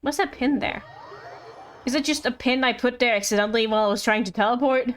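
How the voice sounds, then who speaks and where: A woman's voice: fairly high pitch, fast. A woman doing casual live commentary on a game, chatty, playful and rambling. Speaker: game livestreamer. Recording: livestream with game music behind. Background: game music.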